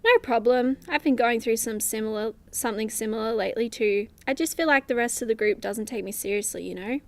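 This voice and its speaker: Woman playing high-voiced sassy superhero. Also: fairly quick, dry recording, natural voice. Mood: vulnerable. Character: sassy young female superhero speedster, teasing, feeling overlooked. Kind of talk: superhero comedy radio play acting.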